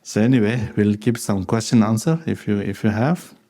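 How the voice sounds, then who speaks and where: Low, mellow-voiced man teaching with an accent. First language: Tibetan. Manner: unhurried, conversational, chuckles while telling anecdotes. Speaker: Buddhist teacher. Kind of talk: dharma talk with question and answer.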